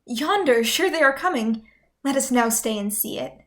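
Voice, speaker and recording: A gentle young princess of Shakespearean radio drama, played by a woman. Her voice is high, and she speaks in earnest, formal phrases with a tender heart. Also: animated, normal speed. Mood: concerned. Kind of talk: Shakespearean radio play acting.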